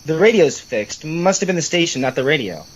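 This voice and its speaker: Casting: male actor as sarcastic, complaining brother for horror audio drama, very lively pitch swings. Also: fairly quick, low-quality recording. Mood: casual. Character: teasing, grumbling adult brother, sarcastic and irreverent.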